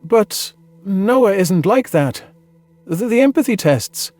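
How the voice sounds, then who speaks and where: A man with a fairly high voice narrates a science fiction story in the first person. His delivery is measured, earnest and dramatic. The sound is dry, with faint music beneath. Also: sing-song, a little mellow, dry recording. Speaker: audiobook narrator.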